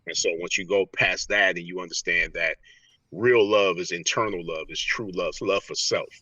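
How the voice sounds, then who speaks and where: A man with a very deep voice that has a rough, husky edge teaches in a conversational, motivational way. He is earnest and repeats phrases for emphasis. The sound is dry and a little distant, as on a remote call. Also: steady tone, moderate pace, sharp tone, dry recording, highs cut off. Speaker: interview guest, motivational speaker. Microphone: earpiece on a remote call.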